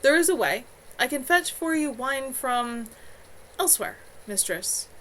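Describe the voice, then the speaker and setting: A woman voicing a male demon in a storybook, formal and deliberate as it bargains with its summoners. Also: sits high, expressive, a bit bright, pitched above the performer's own voice. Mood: eager, bargaining. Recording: clearly noisy home livestream.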